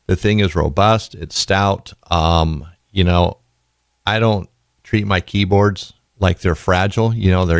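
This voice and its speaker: A hoarse man with a raspy voice, hosting a podcast in a chatty, rambling way. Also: low voice, dry recording, clean studio sound. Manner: chatty, rambling, with self-corrections. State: hoarse from illness. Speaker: podcast host. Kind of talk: casual product talk.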